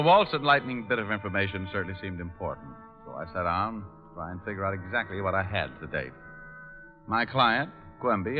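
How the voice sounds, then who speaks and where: Low american detective guy man acting it, dry wisecracks, old radio show, really tinny phone-like sound. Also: close to an everyday voice. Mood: wry narration. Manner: sardonic, quick with quips. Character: wisecracking, cool American private detective in his prime.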